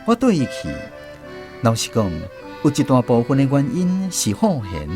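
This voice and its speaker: A man's voice: mid-range voice, sing-song, moderate pace, close to an everyday voice. A man with a Taiwanese accent playing a wry storyteller who recalls army days in radio drama narration, with music behind. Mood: reflective.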